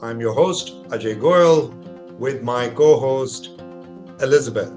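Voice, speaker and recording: A middle-aged man hosting a travel podcast, talking in a measured, explanatory, conversational way. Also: mid-range voice, darkish tone, soft background music. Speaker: podcast host.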